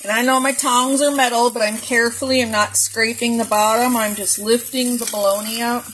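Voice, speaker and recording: A woman's voice: fairly high pitch, steady tone, brisk, a bit bright, a little hiss or hum, low-quality recording. A woman casually narrating a cooking demo in her home kitchen, chatty and homey, talking straight to her viewers. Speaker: cooking vlogger.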